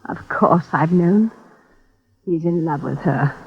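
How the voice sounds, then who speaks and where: An ailing, bitter colonial wife with a husky voice and slow, deliberate delivery. She is proud and formal in vintage radio drama style. The recording is thin and phone-like. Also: lowish voice, heavily acted. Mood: cold, proud. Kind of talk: 1950s radio drama acting.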